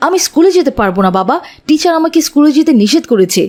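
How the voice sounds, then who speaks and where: A woman's voice: sits high, sing-song, rapid-fire, darkish tone. A woman playing an earnest, dutiful young schoolboy in a moral cartoon, explaining things plaintively. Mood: dejected. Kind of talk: Islamic moral cartoon dialogue.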